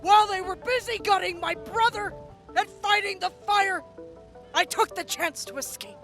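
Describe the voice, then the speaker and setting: Actress as a lady wasteland major, super high bright voice, takes her time, casual interview answers, bit of music under. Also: normal speed. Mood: matter-of-fact. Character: matter-of-fact female military major in a wasteland. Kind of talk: audio drama acting, interview.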